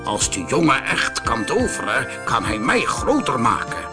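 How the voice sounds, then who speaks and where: A man's voice: medium pitch, huge pitch swings, unhurried, music in the background. A man voicing a wicked, scheming toad baron in a storybook, menacing, gloating and commanding. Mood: smug, scheming.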